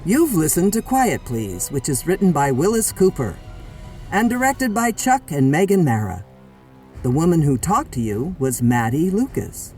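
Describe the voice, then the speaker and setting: Mellow, high-pitched male radio announcer. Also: very animated, moderate pace. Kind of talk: scripted radio show credits. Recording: slightly distant mic, music underneath.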